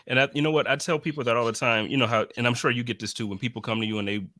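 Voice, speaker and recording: A man's voice: medium pitch, steady tone, rapid-fire, pushed and bright, no echo, studio quality. A man hosting a podcast, chatting in a friendly, slangy and encouraging way. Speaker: podcast host. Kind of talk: casual chat.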